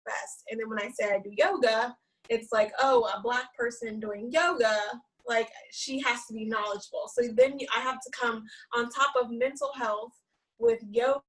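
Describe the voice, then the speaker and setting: Higher-voiced woman, a bit off-mic. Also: lively intonation, moderate pace, a bit bright, far from the mic, poor audio. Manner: candid, heartfelt, repeats herself for emphasis. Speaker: therapist and yoga teacher. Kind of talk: panel discussion.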